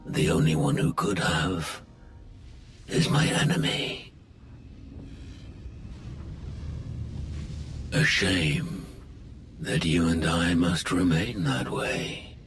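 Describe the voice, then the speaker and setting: An actor playing a menacing, grandiose Sith lord with slow, brooding oratory in a video game. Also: male voice, sits low, over music. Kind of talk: dramatic video game voice acting.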